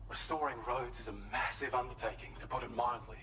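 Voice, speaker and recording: An actor playing a calm male facility contact in a video game, speaking in a measured, formal, friendly way. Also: medium pitch, background noise, natural voice. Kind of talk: scripted game dialogue.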